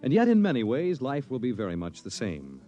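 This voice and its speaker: A man announcing and narrating a 1950s radio series in a measured, portentous, dramatic scripted style. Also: mid-range voice, wildly swooping pitch, mellow. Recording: dull 1950s radio recording. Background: music.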